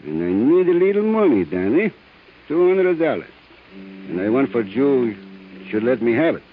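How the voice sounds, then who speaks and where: Guy playing shady dockside blackmailer, fake friendly, very animated, old radio show thru a tinny speaker. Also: mid-range voice, lightly acted. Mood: sly pressure. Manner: halting foreign-English phrasing, insinuating. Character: sly Portuguese male waterfront blackmailer, falsely friendly. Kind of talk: vintage radio drama acting. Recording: tinny, noisy vintage radio recording.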